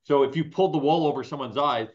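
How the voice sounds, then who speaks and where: A man hosting a podcast, chatting casually and teasing his guest. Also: fairly high pitch. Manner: joking, quick to tease. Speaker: podcast host. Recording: dull lo-fi audio.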